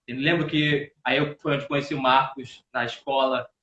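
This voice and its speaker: A man's voice: medium pitch, steady tone, moderate pace, a bit bright, a little hiss or hum. A man in his thirties with a Northeastern Brazilian accent, telling stories as a guest in a casual live chat. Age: 30s. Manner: storytelling, repeats words while searching. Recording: roomy, dull lo-fi audio.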